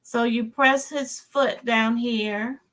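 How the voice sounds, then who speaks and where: Slow-paced, higher-voiced woman guest. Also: steady tone, fairly bright tone. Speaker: interview guest, children's author. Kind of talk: casual chat.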